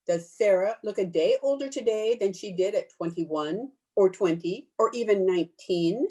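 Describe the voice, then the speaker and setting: A woman's voice: mid-range voice, normal speed, mellow, lightly acted. A woman playing a blunt, disapproving cousin in old-time radio drama, prim and cutting. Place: at home, recorded over video call.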